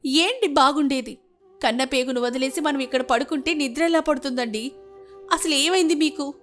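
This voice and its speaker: Woman voicing a high, pleading village wife. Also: moderate pace, a bit bright, soft background music. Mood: pleading, hurt. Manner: emotional, questioning. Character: worried, tearful young village wife pleading with husband. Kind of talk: dramatized moral story acting.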